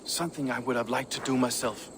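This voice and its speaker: An actor playing a proud, ambitious but loyal Viking shipbuilder, speaking in a measured, earnest way for a video game. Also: male voice, mid-range voice, noisy background, close to an everyday voice. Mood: frank, proud. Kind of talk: dramatic video game cutscene dialogue.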